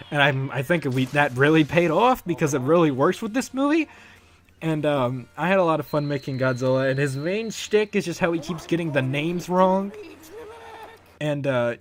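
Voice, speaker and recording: Man giving casual, rambling commentary. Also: fairly high pitch. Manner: rambling, halting, chuckling now and then. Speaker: fan dub creator giving behind-the-scenes commentary.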